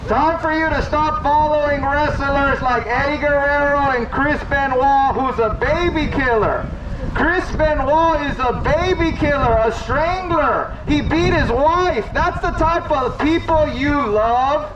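A man preaching loudly outdoors to a street crowd, condemning and repetitive in manner. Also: very high voice, a little slow. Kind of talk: open-air preaching to passing crowds. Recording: distant, muffled outdoor recording with street noise.

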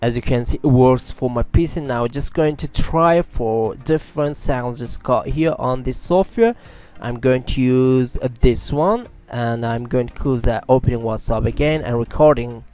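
A man's voice: medium pitch, normal speed, a little mellow, clean tone. A man, narrating a casual tech tutorial as its host.

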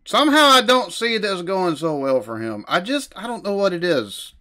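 A middle-aged American man hosting a reaction video, talking casually into a headset mic with slang and swearing, laughing loudly. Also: sits high, sing-song, moderate pace.

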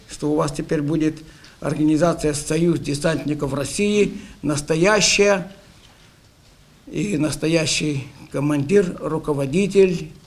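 Elderly man, seventies, high voice, slow pace, emphatic congratulatory address. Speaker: veterans' organisation speaker. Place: meeting hall beside a lectern.

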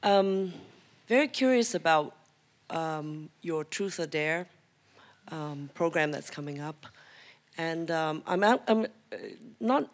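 A man from North Asia asking a question from the audience, slightly hoarse, hesitant with many fillers. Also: on the high side, expressive, slow pace. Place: large auditorium.

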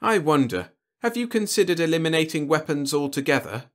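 A man voicing a cool, sly diplomat in an audiobook, speaking in a measured, dryly formal way. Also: a little high, big pitch swings, voice pushed up. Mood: suave. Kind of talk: audiobook character dialogue.

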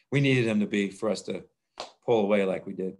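Low-voiced man at a postgame press call. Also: normal speed. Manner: plain, repetitive, restarts phrases. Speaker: head basketball coach. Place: indoors in front of a logo backdrop. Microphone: video call webcam.

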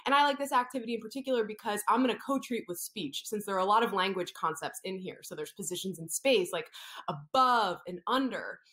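A woman's voice: mid-range voice, brisk, pushed and bright. A woman in her thirties, an occupational therapist, reading a picture story aloud to young children and coaxing them to copy hand gestures, recorded indoors on a laptop webcam. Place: indoor room.

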